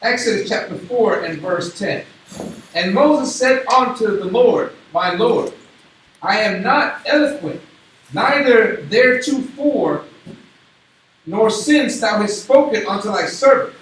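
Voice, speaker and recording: A man reading scripture aloud, slow, measured and solemn. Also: high-pitched, animated, fairly bright tone. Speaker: scripture reader. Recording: distant, echoey room with faint noise.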